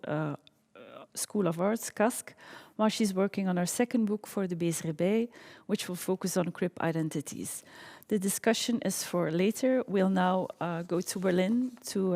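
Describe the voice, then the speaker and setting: A woman's voice: mid-range voice, creaky, dark tone, big-room sound. A woman moderating a panel event, introducing the speakers in a hesitant way with many fillers.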